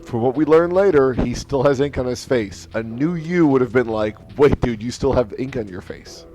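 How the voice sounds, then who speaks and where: Man, medium pitch, blunt casual podcast banter, joking, music clearly audible behind. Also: lively intonation, moderate pace. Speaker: podcast co-host. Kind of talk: casual chat.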